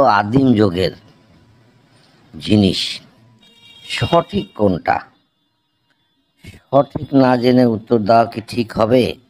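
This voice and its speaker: A man's voice: medium pitch, darkish tone. A man with a Bangladeshi accent voicing a polite, hesitant cartoon quiz contestant who puzzles things out aloud. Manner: deferential, musing, hesitant. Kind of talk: cartoon comedy dialogue.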